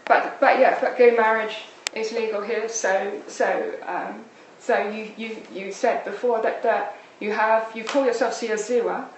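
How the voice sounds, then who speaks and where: A woman's voice: on the high side, fairly even intonation, unhurried, few pauses. A woman interviewer asking conversational questions in a tentative, meandering way. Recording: very echoey, distant mic, faint noise.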